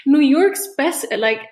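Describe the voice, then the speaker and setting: A woman from Chile, a guest on a podcast, talking in an animated, casual way with restarts and frequent laughs. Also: sits high. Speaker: guest artist. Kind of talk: casual interview chat.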